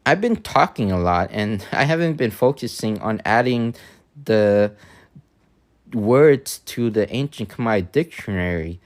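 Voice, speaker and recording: Man, Vietnamese accent, unhurried drawl, halting speech full of ums, casual stream chat. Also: lowish voice, animated. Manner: hesitant, many fillers and restarts; thinking aloud while working.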